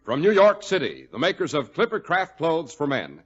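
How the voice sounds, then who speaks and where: A man's voice: a little high, wildly swooping pitch, moderate pace, breathy edge, soft background music. An American man announcing in scripted 1940s radio style, with a polished, emphatic sales delivery. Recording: dull vintage 1940s radio recording.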